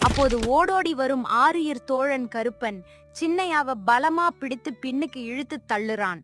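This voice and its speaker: A woman narrates a folk tale with dramatic, scripted delivery. Her voice is high, and faint music plays underneath. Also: animated, moderate pace.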